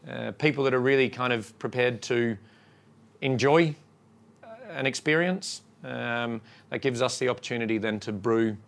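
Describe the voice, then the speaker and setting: A man with an Australian accent, a brewery co-owner giving a relaxed, halting talk to a crowd. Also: medium pitch, vocal fry. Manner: relaxed and halting, lots of ums. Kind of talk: casual talk to a shop crowd.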